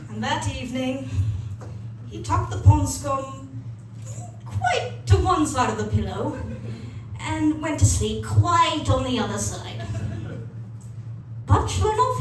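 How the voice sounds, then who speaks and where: High-voiced woman storyteller in echoey room. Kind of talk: fairy tale storytelling. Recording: very echoey, distant, noisy room.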